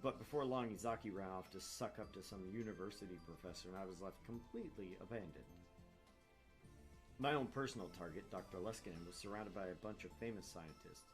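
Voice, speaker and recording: A man hosting a gaming channel, joking and swearing casually and reading visual novel text aloud. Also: sits low. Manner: joking, profane. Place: room at home, not soundproofed. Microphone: shared open microphone. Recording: open-mic room noise, music behind. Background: music.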